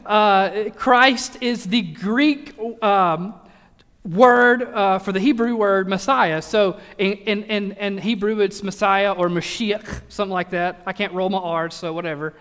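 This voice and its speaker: A high-pitched man preaches a loose, humorous sermon, stumbling over restarts and poking fun at himself and his listeners. His voice carries a long reverb from a large church sanctuary. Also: steady tone, a little slow, fairly bright tone, clean tone. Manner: chatty, self-deprecating humor, frequent restarts. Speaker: pastor. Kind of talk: casual joking sermon.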